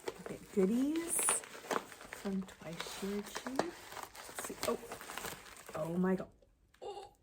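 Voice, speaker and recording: A woman's voice: mid-range voice, very animated, takes it slowly, pauses often, heavy background noise. Sniffly, chatty woman vlogger. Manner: rambling, chatty, self-correcting. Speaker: knitting vlogger. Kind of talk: casual chat to camera.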